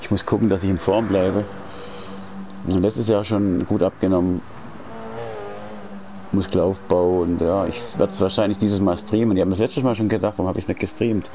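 A man's voice: low voice, flat delivery, normal speed, long pauses. A man in his late forties chatting casually while he streams a racing game from home, rambling and slangy with many restarts. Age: 40s. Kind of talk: casual chat while gaming. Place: indoors at home. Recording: racing game engine noise behind. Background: car engine sounds from the game.

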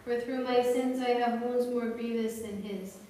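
A woman's fairly high, even voice delivers liturgical prayer with measured solemnity. She is heard from a distance in a large hall, with long, heavy reverberation around every phrase. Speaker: church reader and chanter. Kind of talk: liturgical reading and chanting. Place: large reverberant indoor space. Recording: echoey church-like hall, distant microphone, faint noise.

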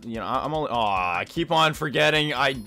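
Young male gamer, lively pitch, halting casual banter, faint music underneath. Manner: chatty, halting, repeats phrases. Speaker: gaming video host. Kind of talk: casual banter while playing a kart racing game.

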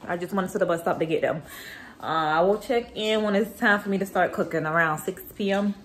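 A woman in her thirties chatting casually to a phone camera in her home kitchen, relaxed and teasing. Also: lowish voice, fairly quick, background noise. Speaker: vlogger and mother. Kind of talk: casual home vlog chat. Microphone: phone camera held at arm's length.

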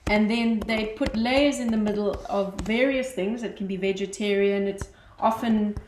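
A woman's voice: medium pitch, normal speed. Woman chatting in an echoey kitchen. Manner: animated storytelling with frequent fillers and laughs. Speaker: guest, cookbook author. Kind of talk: casual chat on a live stream. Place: home kitchen, indoors. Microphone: webcam on a video call. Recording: echoey kitchen with faint noise.

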